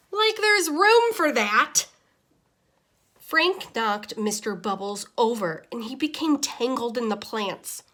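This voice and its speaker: Woman acting out exasperated small pet goldfish, children's storybook diary, higher-pitched, unhurried, expressive. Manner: deliberate, dramatic, expressive. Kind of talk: expressive children's picture-book read-aloud.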